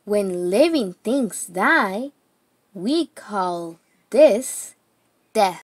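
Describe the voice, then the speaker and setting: A woman reading a children's picture book aloud, deliberately, phrase by phrase with pauses. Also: medium pitch, lively intonation, no echo.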